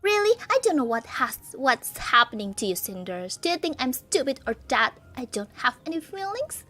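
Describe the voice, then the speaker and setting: A woman's voice: high-pitched, sing-song, moderate pace, sharp tone, faint music behind, full character voice. A woman reading the part of a stern, reproachful older stepsister in a visual novel, her tone earnest and lecturing.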